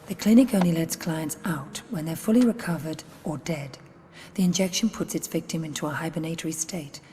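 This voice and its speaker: An actress playing a businesslike agency handler in a video game, measured and matter-of-fact. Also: female voice, deep voice, warm tone, natural voice. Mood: composed, businesslike. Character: businesslike female agency handler briefing an assassin. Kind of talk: video game cutscene acting. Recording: long reverb, faint noise.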